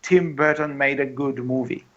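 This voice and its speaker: A man's voice: mid-range voice, steady tone, moderate pace, a bit bright. A man co-hosting a podcast, discussing casually and readily arguing his point. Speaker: podcast co-host. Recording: dull lo-fi home mic.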